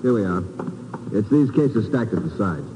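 An actor playing a brisk, skeptical ship's first mate in a businesslike 1950s radio drama style. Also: male voice, fairly low pitch, animated, dark tone, lightly acted. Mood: businesslike, puzzled. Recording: reverberant, noisy old radio recording.

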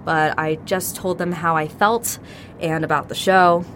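A woman's voice: on the low side, fairly even intonation, a little slow, fairly bright tone, a little hiss or hum. A young woman playing a cocky local band member in an audio drama, talking in a casual, slangy, glib way. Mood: uneasy. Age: young adult. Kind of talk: naturalistic audio drama acting.

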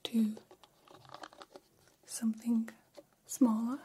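A woman voicing a gentle, curious female alien in an ASMR roleplay, whispering softly in formal, halting, literal phrases. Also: on the high side, little pitch movement, slight background noise. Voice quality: soft, breathy whisper. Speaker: ASMR performer.

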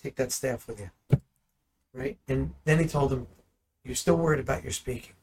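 A man in ministry teaching the Bible in conversation, animated and full of rhetorical questions. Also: mid-range voice, moderate pace, background noise. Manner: animated, asks rhetorical questions, acts out dialogue.

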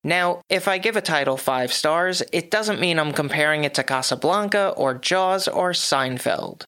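A man hosting his own podcast, reading a scripted movie review with dry, wry asides, his voice a little hoarse from a cough. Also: on the high side, normal speed, sharp tone. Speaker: podcast host.

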